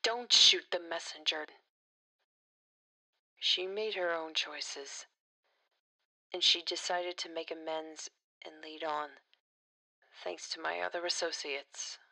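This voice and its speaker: Woman acting as principled female politician, lowish voice, crisp forceful edge, earnest speechifying, defiant, audio drama. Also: steady tone, takes it slowly, long pauses, full character voice, poor audio. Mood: dry, defensive.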